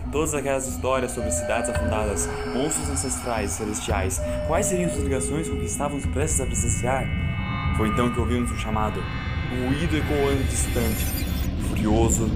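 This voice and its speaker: A man with a Brazilian accent narrating a horror audiobook in a solemn, theatrical way. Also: medium pitch. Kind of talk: dramatic scripted horror narration. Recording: loud music under the voice. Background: loud music.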